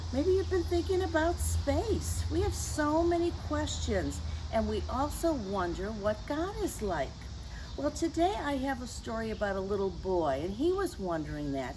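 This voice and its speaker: A woman reading a picture book to young children in a gentle, teacherly way, with chatty asides to her listeners. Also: medium pitch, noisy background. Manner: gentle teacherly address, tag questions to the listeners. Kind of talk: read-aloud story time for young children with chatty asides. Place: outdoors.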